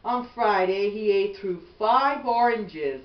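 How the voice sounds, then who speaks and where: A middle-aged woman reads a bedtime storybook at an unhurried pace. She is some distance from the camera mic in an echoey room. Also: medium pitch, animated, dull audio. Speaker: mother reading a bedtime story. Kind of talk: slow storybook read-aloud. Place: small bedroom at home, indoors. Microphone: camera a few feet away.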